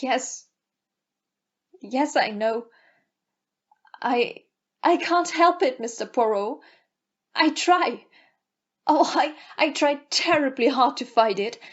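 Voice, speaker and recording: A woman's voice: sits high, expressive, slow, pauses often, full character voice. A woman playing a nervous, defensive young lady in an audio play, hesitant when questioned, on a muffled recording. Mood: distressed. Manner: hesitant, faltering under questioning.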